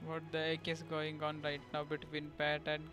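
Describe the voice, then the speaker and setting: A man's voice: on the high side, completely flat delivery, normal speed. A man with an Indian accent chatting casually and informally as he livestreams a game. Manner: informal, slangy, switches between English and Hindi. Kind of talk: casual chat commentary over gameplay. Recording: stream mic over game music. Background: game music.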